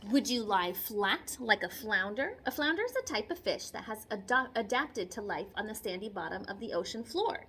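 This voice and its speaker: A woman's voice: medium pitch, very animated, normal speed, few pauses, sharp tone, slight background noise. A woman teacher reading a picture book aloud to children, expressively, with simple chatty explanations, repetition and questions. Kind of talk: read-aloud lesson with chatty explanatory asides.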